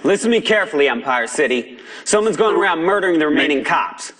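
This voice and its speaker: High, animated American pirate radio agitator. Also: male voice, quick pace. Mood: grave warning. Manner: sarcastic, indignant, colloquial. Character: defiant, paranoid pirate radio agitator, adult man. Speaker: underground broadcaster. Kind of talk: impassioned pirate broadcast rant.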